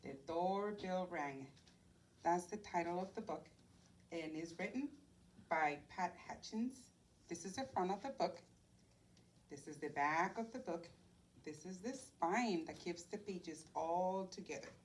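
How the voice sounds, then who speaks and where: A woman reads a storybook for small children at an unhurried pace. Her delivery swings expressively and sing-song, drawing little listeners in. She sounds a bit distant from the microphone, with slight noise behind her. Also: fairly low pitch, expressive. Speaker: preschool teacher reading a picture book aloud. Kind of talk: children's read-aloud storytime. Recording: distant mic, faint background noise.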